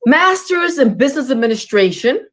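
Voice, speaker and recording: A woman's voice: sits high, big pitch swings, moderate pace. A woman hosting an interview show, effusive and formal, with many compliments and blessings. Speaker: talk show host.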